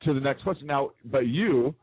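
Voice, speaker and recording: An American man tells his stories with lively swings in pitch and teases his co-host. The sound is dry and close. It comes through a narrow, phone-like band. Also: normal speed, breathy edge, no echo. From United States. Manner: animated storyteller, teasing. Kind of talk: casual political talk banter.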